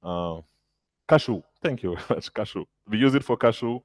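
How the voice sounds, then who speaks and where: Guy on a webinar call, hesitant, lots of uhs, dry low voice. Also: lively intonation, normal speed, no room sound. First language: French. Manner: hesitant, many fillers and restarts. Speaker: agritech founder answering questions. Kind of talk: webinar Q&A answer. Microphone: video call.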